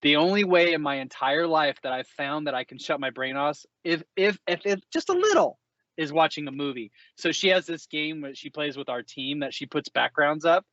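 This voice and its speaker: A man's voice: a little high, animated, moderate pace, fairly bright tone, no room sound, muffled recording. A man hosting a casual interview, chatting in an enthusiastic, effusive way. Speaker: podcast host, company executive.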